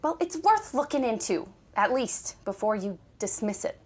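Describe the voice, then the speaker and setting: A woman's voice: fairly high pitch, lively intonation, moderate pace, slightly rough. An actress playing a doting, starstruck older mother in an audio drama, nagging and insistent with a dramatic streak. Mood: nagging, encouraging.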